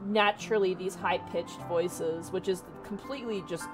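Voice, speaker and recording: A woman with a naturally low voice, rambling casually with lots of fillers while streaming. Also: normal speed, over music. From Canada. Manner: rambling, many fillers and restarts. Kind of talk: casual chat on a livestream.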